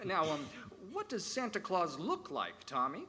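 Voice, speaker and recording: A man playing an earnest, courteous, somewhat halting defense lawyer in a live radio play. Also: high-pitched, huge pitch swings, bright, forceful tone, a little hiss or hum, full character voice. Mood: gentle questioning.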